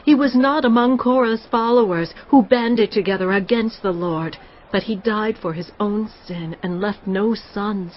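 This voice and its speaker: An actress playing a bereaved daughter making an earnest plea in a dramatised scripture reading. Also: female voice, medium pitch, measured pace, close to an everyday voice. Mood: earnest pleading. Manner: earnest, pleading. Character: bereaved young daughter pleading for her inheritance. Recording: slightly muffled sound.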